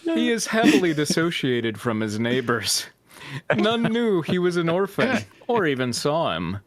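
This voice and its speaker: A man's voice: fairly high pitch, sing-song, unhurried, no echo. A man narrating a story for a comic group reading, with casual joking asides.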